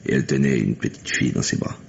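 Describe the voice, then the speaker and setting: An actor playing an earnest, methodical police lieutenant in a French mystery radio play, speaking deliberately. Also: male voice, very deep voice, vocal fry. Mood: somber. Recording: muffled, hissy vintage radio recording.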